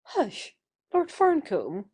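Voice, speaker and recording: A woman performs a young lady being courted in a stage play for audio. The voice is mellow and slow with lively rises and falls, composed and kindly, with a teasing, reproving edge. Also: high voice, exaggerated voice. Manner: measured, gently reproving.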